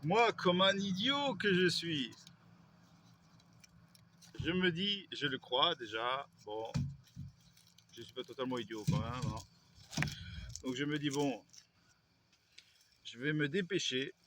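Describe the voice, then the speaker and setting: A man's voice: on the high side, animated, takes it slowly, pauses often, noisy background. A man, vlogging in a rambling way, with hesitations and self-corrections. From Belgium. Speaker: YouTuber. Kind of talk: casual vlog monologue.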